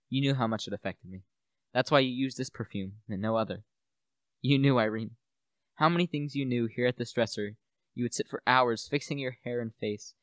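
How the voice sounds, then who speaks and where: A man's voice: medium pitch, no echo, close to an everyday voice. A young man playing a bitter, gloating widower who poisoned his wife, in a theatrical, brooding radio play monologue. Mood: brooding, menacing. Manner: melodramatic, brooding, declamatory.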